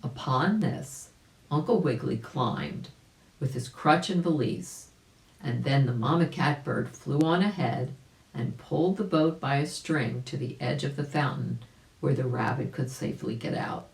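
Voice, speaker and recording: A woman's voice: extremely low pitch, animated, a little slow, vocal fry, a little mellow. Woman reading children's stories, echoey room. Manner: explanatory, addresses young listeners directly. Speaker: volunteer storybook reader. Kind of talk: children's story narration with asides to listeners.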